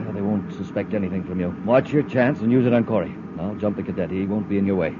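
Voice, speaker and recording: An actor playing a scheming, ruthless outlaw in 1950s radio adventure style, urgent and conspiratorial, on a noisy old recording. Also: male voice, on the low side, fast, dull audio, natural voice.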